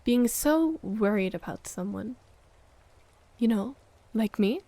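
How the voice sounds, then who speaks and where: A woman's voice: on the high side, expressive, takes it slowly, mellow, no echo, full character voice. A woman playing a jealous, needy, wounded girlfriend in an ASMR roleplay audio drama, sighing and often dropping toward a whisper. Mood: brooding, hurt.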